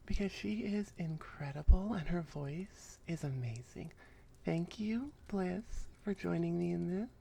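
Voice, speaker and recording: A woman's voice: fairly low pitch, measured pace, creaky, mellow, a little hiss or hum. A woman creator chatting casually and effusively to her viewers, close on the microphone. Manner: effusive, enthusiastic. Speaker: ASMR creator. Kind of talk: casual thank-you chat to viewers. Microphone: close microphone on an arm.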